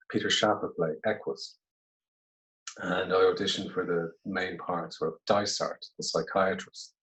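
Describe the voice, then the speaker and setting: A man in his forties from Cork, his Irish accent worn soft by years abroad. He talks low and easygoing, musing aloud with frequent little asides as he looks back on his career. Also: poor audio. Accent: Irish, softened by years in London. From Ireland, Cork. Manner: reflective, easygoing, many "I suppose" asides. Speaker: actor, guest. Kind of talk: casual interview over video call.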